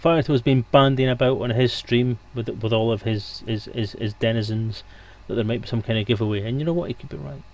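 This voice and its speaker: A man's voice: medium pitch, fairly even intonation, fairly quick, hardly any pauses, darkish tone. Casual, rambling male livestream host. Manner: informal, joking, digressive. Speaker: live stream host. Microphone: headset microphone.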